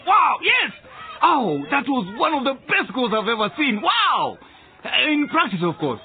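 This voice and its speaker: An actor playing an excitable, emphatic school football coach in a radio drama. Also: male voice, very high-pitched, unhurried, phone-quality sound, exaggerated voice. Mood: elated. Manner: exclamatory, emphatic.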